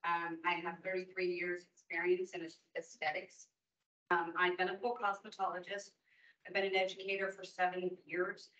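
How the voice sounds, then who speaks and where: A woman's voice: on the low side, little pitch movement, moderate pace, pushed and bright. A woman in her fifties, an esthetician from Oregon, giving an earnest, emphatic public comment to a licensing board in a large meeting room. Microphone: distant room mic. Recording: roomy, distant, dull meeting audio.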